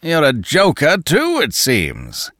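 Booming, hectoring colossus, put on by a male reader in a lively-pitched, dry audiobook read. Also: unhurried, no room sound, heavily acted. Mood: blustering. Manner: loud, blustering, hectoring. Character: roaring, coarse, domineering giant of a man.

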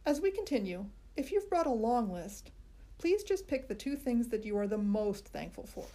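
A woman voicing a patient, wry male schoolteacher who speaks in a calm, dry, teacherly way. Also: a little high, normal speed, a little hiss or hum.

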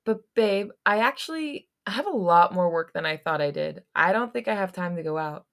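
A woman reading the lines of a flustered young office worker who speaks quickly and pointedly. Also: lowish voice, expressive, creaky.

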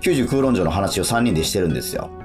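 A man co-hosting a casual radio chat, animated and appreciative, often feeling his way through phrases. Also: low-pitched, quick pace. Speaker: radio show co-host. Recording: music playing under the talk. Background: background music.

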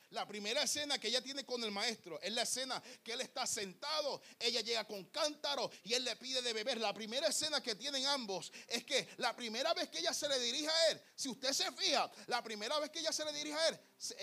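A man's voice: very high-pitched, sharp tone. A young man preaching a fervent sermon, repeating words and calling the congregation beloved. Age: young adult. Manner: fervent, repetitive, calls listeners "amado". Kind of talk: Pentecostal sermon.

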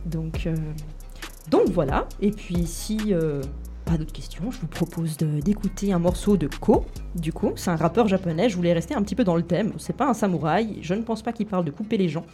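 A woman's voice: on the low side, lively intonation, fairly quick, warm tone, soft background music. A woman in her twenties, born in Italy and raised in Switzerland, chatting casually at a radio round table and filling her talk with hesitations. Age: 20s. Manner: chatty, self-deprecating, many fillers. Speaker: radio show columnist. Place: radio studio.

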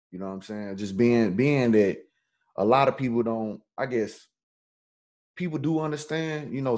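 A man's voice: medium pitch, a little mellow. A man hosting a casual chat, laid-back and slangy. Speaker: host.

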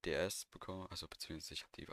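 A man's voice: low-pitched, steady tone, racing, fairly bright tone. A young man talking informally and with slang as co-host of a casual podcast. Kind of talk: casual chat.

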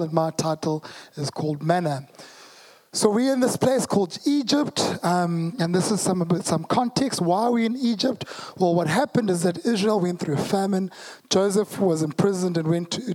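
Hoarse South African preacher, warm dark tone, relaxed conversational sermon. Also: medium pitch. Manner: conversational, joking asides to the congregation. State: hoarse, losing voice.

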